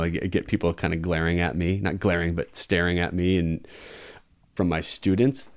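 A man in his thirties from the United States talks through his answers in a relaxed interview. His voice sits very low. He pauses and restarts as he thinks. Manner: thoughtful, hesitant, restarts, chuckles. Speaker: guest, expat math teacher. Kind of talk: casual interview chat.

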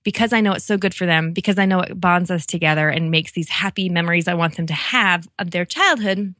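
A woman's voice: lowish voice, brisk, fairly bright tone, no echo, clean studio sound. A chatty middle-aged woman who laughs easily, talking casually as a guest on a podcast interview. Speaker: podcast guest, read-aloud podcast creator.

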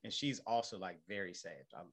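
A man of thirty in casual chat, relaxed and using slang. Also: sits low, brisk. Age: 30s. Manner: relaxed, laid-back slang.

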